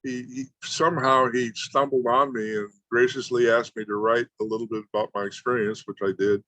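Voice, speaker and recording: A man's voice: medium pitch, flat delivery, fairly quick, a bit bright, dry recording, low-quality recording. Rambling male host, dry close sound. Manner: rambling, self-correcting, repeats words. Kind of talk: casual talk introducing a guest. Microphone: video call.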